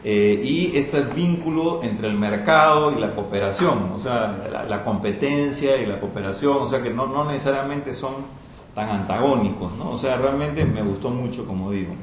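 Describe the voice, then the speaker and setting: A man's voice: mid-range voice, normal speed. A man commenting on a book at a public panel, speaking hesitantly, repeating words and adding rhetorical tag questions. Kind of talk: spoken commentary at a public event. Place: institute event hall. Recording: very echoey lecture room, faint noise.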